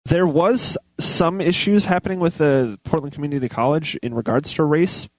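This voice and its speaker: A man co-hosting a talk show, reading articles aloud haltingly between bits of casual banter. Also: on the high side, dry recording, low-quality recording. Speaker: co-host reading the news.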